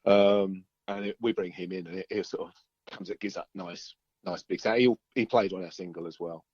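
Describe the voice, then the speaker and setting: A man chatting informally as an interviewed musician, halting and circling back over his phrases. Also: low-pitched, steady tone, darkish tone, no echo, lo-fi sound. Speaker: band singer-guitarist being interviewed. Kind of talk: casual interview chat.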